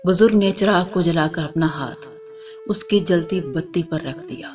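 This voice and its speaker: A woman narrating a scripted religious moral story in a formal, measured way, as a storyteller. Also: lowish voice. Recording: music under the voice.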